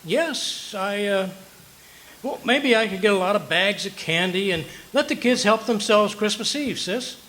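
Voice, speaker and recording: A man's voice: high-pitched, animated, unhurried, pushed and bright, exaggerated voice, rough recording. A man playing a bumbling, self-mocking but good-natured husband in an old-time radio comedy, blustering and easily flustered. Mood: softening, kindly. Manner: blustering, rattles off lists, flustered stammers. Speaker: amateur radio comedy actor. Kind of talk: old-time radio comedy acting before a live audience. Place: live performance at an RV resort. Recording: live stage recording, background noise.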